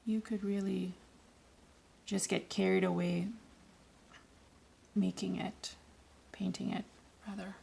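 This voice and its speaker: A woman with a raspy voice today, giving loose, rambling, self-correcting instructions in a casual watercolour tutorial. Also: medium pitch, little pitch movement, slow pace, long pauses, dark tone. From Canada. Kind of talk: casual painting tutorial voice-over.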